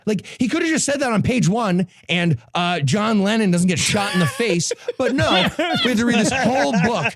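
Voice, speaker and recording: A man's voice: high-pitched, animated, normal speed, few pauses, no echo, studio quality. A man hosting a comedy podcast, giving a casual, sarcastic and irreverent book recap. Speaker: podcast host presenting a book summary.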